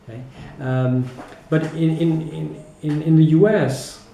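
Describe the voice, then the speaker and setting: A man's voice: on the high side, fairly even intonation, a little slow, gravelly, warm tone, a little hiss or hum. A man with a French accent giving reflective, explanatory interview answers as a teacher, speaking hesitantly and often checking in with a short tag. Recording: echoey, roomy sound.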